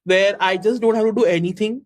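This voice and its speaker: A woman in her twenties talks with an Indian English accent as a podcast guest. Her voice sits at a middle pitch, and she muses playfully, joking about herself. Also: brisk. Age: 20s. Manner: laughing, dreamy, self-mocking. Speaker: podcast guest, content creator. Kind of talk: casual podcast chat.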